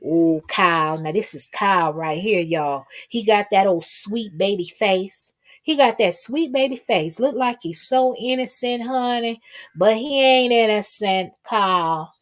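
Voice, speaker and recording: A woman's voice: mid-range voice, unhurried. A woman hosting casual gossip commentary, talking to her viewers in a sassy, folksy, emphatic way and repeating phrases for effect. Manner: folksy, colloquial, addresses listeners with pet names. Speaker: host of a commentary livestream.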